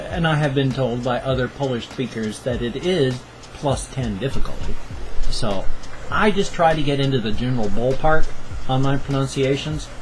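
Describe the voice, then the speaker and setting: A man's voice: medium pitch, low-quality recording. Man, fifties, casual home vlog, chatty, jokey asides, webcam sound, faint music. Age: 50s. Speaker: vlogger.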